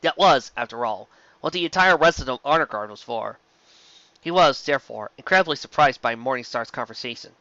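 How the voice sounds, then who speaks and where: A man's voice: mid-range voice, animated, fairly quick, sharp tone, no room sound, dull audio. A man reading a scripted story as an amateur narrator, now and then stumbling over words and rereading them. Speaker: amateur audiobook reader.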